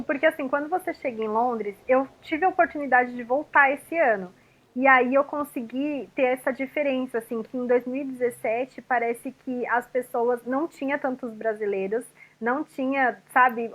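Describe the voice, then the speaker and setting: High-voiced psychologist woman. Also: normal speed, poor audio. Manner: relaxed, recounts experiences with many fillers. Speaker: psychologist guest. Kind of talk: casual conversational interview.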